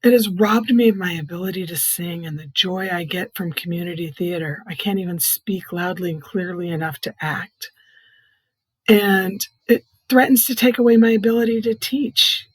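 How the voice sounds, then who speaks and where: A middle-aged or older woman with a soft, hoarse, breathy voice, giving an earnest personal testimony in plain, explanatory words. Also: lowish voice, moderate pace, no echo, low-quality recording. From Iowa, United States. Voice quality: soft, hoarse, breathy voice from vocal cord growths. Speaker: patient advocate, child care nurse consultant.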